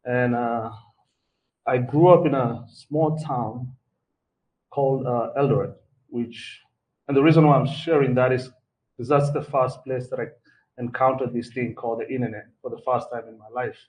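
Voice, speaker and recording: A man from Kenya, a guest entrepreneur, telling his story to a live audience in a relaxed way with fillers and repeated words. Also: medium pitch, normal speed. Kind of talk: personal story at a live talk. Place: event hall before an audience. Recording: distant mic with faint background noise.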